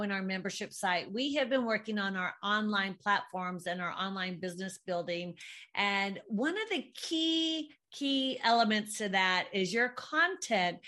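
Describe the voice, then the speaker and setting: A woman's voice: a little high, fairly bright tone. A middle-aged woman hosting a podcast, enthusiastic and effusive as she welcomes and questions her guest. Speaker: podcast host. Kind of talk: podcast interview.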